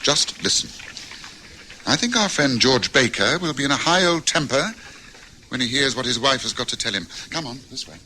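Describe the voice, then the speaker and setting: An actor playing a confident, shrewd gentleman detective in an old radio drama. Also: medium pitch, expressive, moderate pace, a little hiss or hum, poor audio. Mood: assured.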